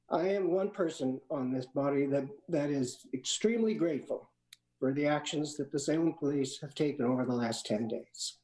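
A man's voice: a little high, dark tone, reverberant, low-quality recording. A man who is a city councilor, speaking earnestly in a council meeting over a video call and searching for words. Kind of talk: formal meeting remarks.

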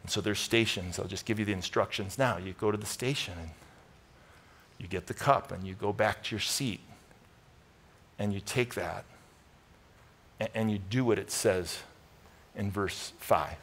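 A man's voice: fairly low pitch, moderate pace, pauses often, fairly bright tone, slightly rough. A middle-aged American man preaching as a pastor in an earnest, informal, conversational way to a congregation. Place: large church auditorium, speaking from a stage.

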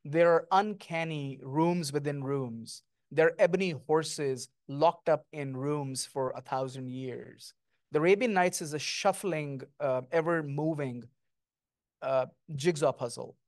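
A man's voice: a little high, unhurried, no echo, studio quality. Thoughtful man on a stage, handheld mic. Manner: thoughtful, lyrical, with frequent ums. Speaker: guest author. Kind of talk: onstage author conversation. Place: small stage before an audience, indoors.

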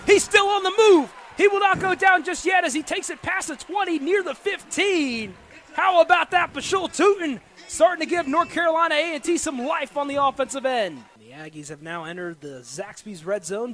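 An American man calling live football play-by-play on the radio with excitable, dramatic delivery. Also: very high-pitched. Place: football stadium during a live game. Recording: noisy live stadium broadcast.

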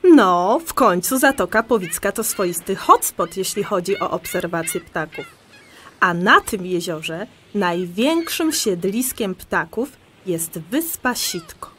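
An actress playing a patient, enthusiastic amateur birdwatcher who explains things in a conversational audio drama. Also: female voice, mid-range voice, lively intonation, slow, fairly bright tone, a little hiss or hum. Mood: explaining.

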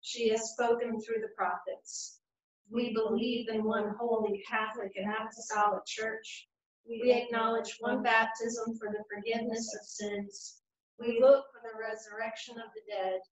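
Woman leading prayers, higher voice, echoey room. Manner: measured, steady liturgical reading. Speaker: church worship leader. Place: small room at home, standing at a lectern. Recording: echoey room, dull lo-fi sound.

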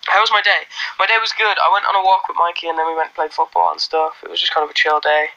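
A young man from Ireland, his Irish accent mostly worn away, talks into a phone held close in a small room. His voice sits a little high and comes across very bright and forward. He rambles loosely through viewer comments, full of ums and false starts. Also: fast talker, vocal fry, lo-fi sound. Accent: faint Irish, mostly worn off. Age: young adult. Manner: rambling, relaxed, many fillers and restarts. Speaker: livestreamer. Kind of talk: casual livestream chat reading comments.

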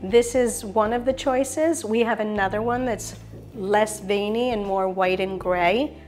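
Middle-aged woman, sales tour host, mid-pitched, upbeat and polished, faint music bed. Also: unhurried, darkish tone. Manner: enthusiastic, salesy, lists features. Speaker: sales director giving a property tour. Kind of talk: polished real estate showcase tour. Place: show apartment kitchen, indoors.

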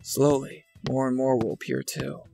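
A man's voice: mid-range voice, flat delivery, moderate pace, gravelly, dark tone, echoey room, faint music behind, natural voice. A man playing a curt, guarded young man in a fan dub, blunt and dry. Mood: guarded, brooding.

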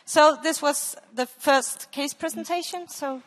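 Woman w giving a talk, highish voice, feels her way thru sentences, big echoey hall. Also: a little slow, a bit bright. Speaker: conference presenter. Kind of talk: case presentation to an audience. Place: stage in a large dark auditorium. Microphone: headset. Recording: echoey hall with long reverb.

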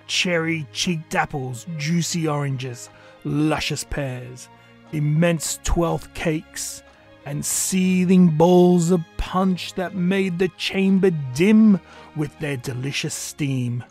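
Male narrator, unhurried, theatrical, slightly higher voice, storybook reading, music behind. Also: darkish tone, slightly rough.

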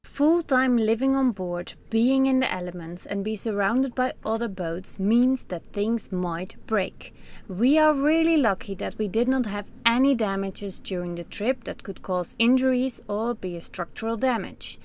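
A Dutch woman in her thirties with a Dutch accent, calmly narrating her family's sailing year in a scripted voice-over. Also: fairly high pitch, measured pace, a little hiss or hum. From Netherlands. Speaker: sailing vlogger. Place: on a sailboat cockpit under a canopy, outdoors.

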